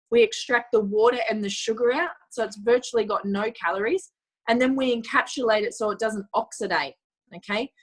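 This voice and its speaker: A woman, croaky from yelling, giving an enthusiastic product pitch to a group and asking them questions. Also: rough recording. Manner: enthusiastic, asks the audience questions, many fillers. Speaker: product presenter.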